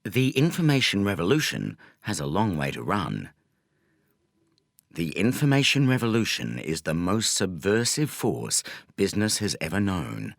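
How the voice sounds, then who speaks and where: A man reading a nonfiction audiobook as narrator, in a scripted style with measured, deliberate phrasing. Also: sits low, big pitch swings, vocal fry, no echo, clean studio sound.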